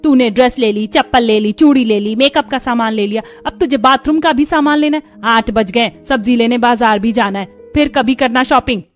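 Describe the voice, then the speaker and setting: A woman's voice: a little high, fairly quick, few pauses, soft background music, natural voice. A woman voicing a stern, middle-aged mother-in-law in a cartoon moral story, emphatic and scolding.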